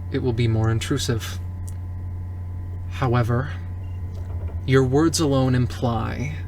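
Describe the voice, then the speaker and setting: A man voicing an accomplished female cybernetic doctor in a roleplay, with formal, deliberate, precise and guarded speech. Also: mid-range voice, darkish tone, slight background noise, low-quality recording. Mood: firm. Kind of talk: improvised roleplay dialogue.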